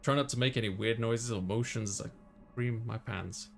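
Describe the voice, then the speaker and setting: A man narrates a visual novel in the first person with a creaky, gravelly voice. His pitch sits in a middle range, and music plays underneath as he reads casually. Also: normal speed. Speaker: let's player reading a visual novel aloud.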